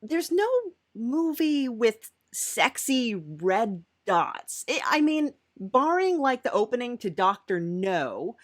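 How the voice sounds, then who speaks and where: A woman's voice: fairly high pitch, sing-song, slow pace, few pauses. A woman hosting an improvised comedy interview, speaking hesitantly in trailing phrases. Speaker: podcast host.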